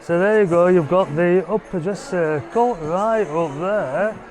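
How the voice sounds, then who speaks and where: A man hosting a family vlog talks in a fairly high voice while he walks a theatre with a handheld camera. His chatty tour patter is full of "so" and "uh". Music plays clearly behind him. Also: unhurried, rough recording. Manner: chatty, loose, with frequent "so" and "uh". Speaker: family vlogger host. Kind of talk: casual walking tour narration. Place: inside a theatre, foyer and private box.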